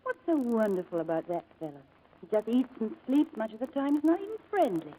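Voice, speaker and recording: An actress playing an affectionate young wife and new mother in 1940s radio style, tender and gently teasing. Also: on the high side, very animated, brisk, a little hiss or hum, low-quality recording. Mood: playful.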